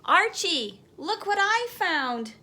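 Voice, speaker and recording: A woman voicing an eager, bold young boy in a storybook, exclaiming with urgency and excitement. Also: very high voice, takes it slowly, pitched above the performer's own voice, heavily acted. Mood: excited. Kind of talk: storybook read-aloud character voice.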